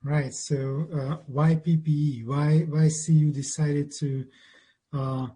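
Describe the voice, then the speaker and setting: Mellow husky man. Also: on the high side, little pitch movement, takes it slowly. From Hungary. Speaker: professor, department head. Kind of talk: webinar panel remarks. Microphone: video call.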